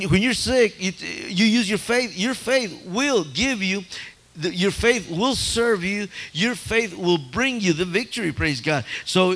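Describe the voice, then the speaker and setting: A male preacher giving an excited sermon, filling every phrase with "hallelujah" and "praise God" and often stammering and restarting. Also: high-pitched.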